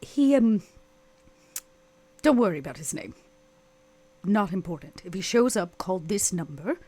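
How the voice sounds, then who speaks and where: A woman playing a curt, exasperated vampire boss in a tabletop game, with clipped, withering delivery and a husky voice. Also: lowish voice, sing-song, a little slow, a little mellow, full character voice. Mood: curt, guarded.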